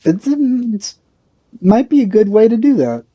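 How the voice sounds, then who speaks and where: Male let's play host: soft-edged dark tone, dry close sound, halting, thoughtful casual commentary. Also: high voice, sing-song, no echo. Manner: musing, hesitant, halting phrasing. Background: game music.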